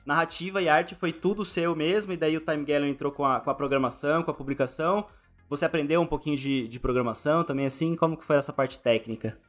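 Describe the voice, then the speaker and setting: A Brazilian man hosts a casual interview in a voice a little higher than most men's. He is wordy and informal, reworking his questions as he speaks. Music plays faintly behind him. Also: quick pace. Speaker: podcast host interviewer. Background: background music.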